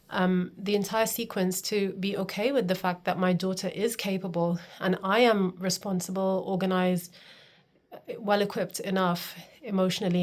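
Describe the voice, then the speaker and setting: A woman in her thirties, from Pakistan, talking earnestly and confidingly to camera as a homeschooling coach, often stressing her points with "literally". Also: medium pitch. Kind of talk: personal talking-to-camera vlog.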